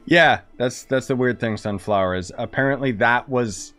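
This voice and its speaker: Gamer host, male, casual and sarcastic, thinks out loud. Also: mid-range voice, normal speed, soft background music. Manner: sarcastic, rambling, talks over cutscenes. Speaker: gaming streamer. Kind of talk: casual gameplay commentary.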